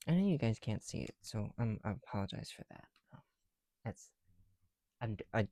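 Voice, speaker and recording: A soft-spoken man chatting casually in an ASMR style, often dropping into whispers, with a creaky voice and a rambling, halting delivery, close to the mic. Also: on the low side, sing-song, no echo. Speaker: ASMR video creator. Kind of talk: casual ASMR chat while building bricks.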